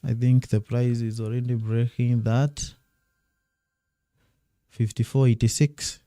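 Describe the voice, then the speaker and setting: Guy doing live trading commentary, really dark mellow voice, kinda hoarse, dry close mic, faint music behind. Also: mid-range voice, no room sound. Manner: repetitive, thinking aloud while watching charts. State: losing his voice. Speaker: day-trading streamer.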